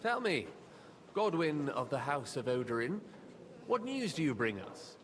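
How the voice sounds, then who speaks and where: A man's voice: medium pitch, very animated, measured pace, slight background noise. A man acting a serene, confident lord in a game, speaking formally and unhurriedly. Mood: calm, expectant. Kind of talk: dramatic scripted game acting. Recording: crowd sound behind.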